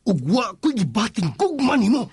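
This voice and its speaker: A man playing a cold, dismissive young master in a radio drama, with a husky voice, curt scolding delivery and a Cebuano Filipino accent. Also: high-pitched, big pitch swings, normal speed, a little mellow. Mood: cold, annoyed. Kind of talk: Philippine radio drama acting.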